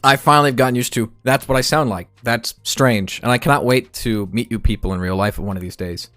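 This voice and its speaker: A man's voice: mid-range voice, brisk, a bit bright, dry recording, faint music behind. A man hosting a casual podcast, talking loosely and swearing as he thinks aloud. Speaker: podcast host. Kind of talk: casual chat.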